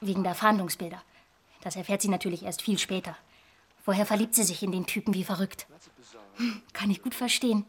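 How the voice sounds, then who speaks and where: A woman's voice: mid-range voice, fairly even intonation, brisk, breathy edge, natural voice. Radio drama: restless young dreamer, played by a woman, conversational and musing, mid-range voice. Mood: dreamy, chatty. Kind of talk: radio drama monologue and dialogue.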